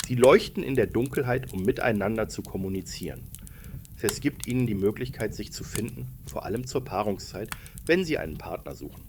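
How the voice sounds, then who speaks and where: A man's voice: lowish voice, lively intonation, fairly quick, a little mellow, slight background noise. A man narrating a scripted bedtime story for children, explaining things and addressing them directly.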